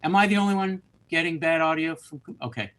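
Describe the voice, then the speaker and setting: A man's voice: on the high side, fairly quick, fairly bright tone, clear, resonant tone. A man hosting an unscripted live webcast discussion, talking conversationally and rephrasing himself as he goes. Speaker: host of a live literature course webcast.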